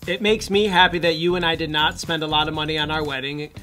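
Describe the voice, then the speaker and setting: A man's voice: fairly high pitch, flat delivery, fast talker, sharp tone, faint music behind. A man co-hosting a casual commentary show, musing aloud and offering his opinions on photos.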